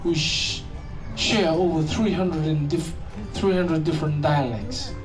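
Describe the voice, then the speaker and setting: A presenter from Fiji addresses a live audience, trading light jokes before settling into storytelling. The voice sits at a middle pitch and comes from some distance away from the mic. Clear music plays behind the talk. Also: a little slow. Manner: joking with the crowd, then storytelling. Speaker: cultural show presenter. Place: live show venue with audience.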